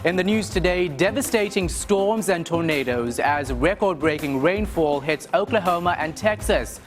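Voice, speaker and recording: A man anchoring and reporting the news in a crisp, measured broadcast delivery. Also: a little high, lively intonation, soft background music. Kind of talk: scripted news presenting.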